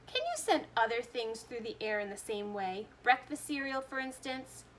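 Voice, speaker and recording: A woman voicing a brash, overexcited young boy who shouts eagerly in a storybook reading. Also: on the high side, very animated, moderate pace, slight background noise.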